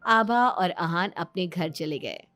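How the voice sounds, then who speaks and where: A woman's voice: fairly low pitch, animated, slow pace, fairly bright tone. A woman storyteller reading a scripted moral family story as narrator. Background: background music.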